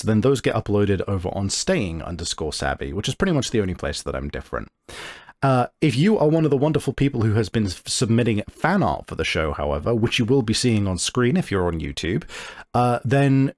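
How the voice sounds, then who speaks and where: A man's voice: mid-range voice, darkish tone. A man speaking casually and conversationally as the host and game master of a tabletop podcast. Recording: music playing behind the voice. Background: music.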